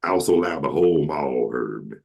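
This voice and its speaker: A man with a deep, carrying voice telling casual, joking stories to friends as a talk show host, slangy and quoting people as he goes. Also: expressive, brisk, creaky, a little mellow. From southern United States. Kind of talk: casual comic storytelling with friends.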